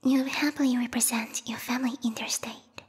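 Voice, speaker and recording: A woman voicing a formal, haughty bat girl who serves a vampire queen, speaking in a breathy, whispery ASMR roleplay style close to the microphone, politely menacing. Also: on the high side, steady tone, fairly quick, long reverb tail. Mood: cold, menacing. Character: yandere bat monster girl, devoted servant of vampire queen. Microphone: close binaural microphone.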